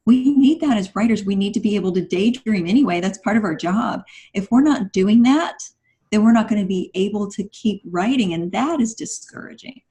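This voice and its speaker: A woman's voice: mid-range voice, animated, brisk, warm tone. A woman guest on a podcast, giving conversational advice in an instructive, encouraging manner.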